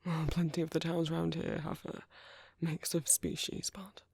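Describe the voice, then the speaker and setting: A British man playing a gentle, courteous vampire priest in an ASMR roleplay, speaking softly and breathily with polite, hesitant reassurance. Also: a little high, flat delivery, moderate pace, no echo, studio quality. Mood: wistful, reflective. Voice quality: soft, breathy, husky. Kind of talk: ASMR roleplay, soft intimate whispery speech.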